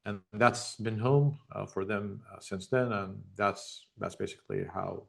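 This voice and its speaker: A middle-aged man from Jordan, answering interview questions over a video call in a measured, reflective way with frequent ums. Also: lowish voice, steady tone, vocal fry, fairly bright tone. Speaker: tech founder guest.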